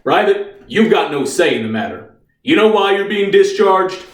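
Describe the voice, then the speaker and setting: A man's voice: high-pitched, big pitch swings, moderate pace. A man performing as a commanding army officer in a stage drama. He is stern and patronizing, with clipped authority. The room echoes heavily and the microphone is at a distance. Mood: stern, official.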